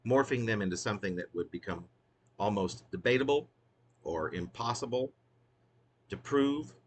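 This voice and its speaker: A man's voice: lowish voice, lively intonation, moderate pace, slight background noise. A man who is a poet reading his own wry, literary work in a theatrical, emphatic way, drawing out phrases.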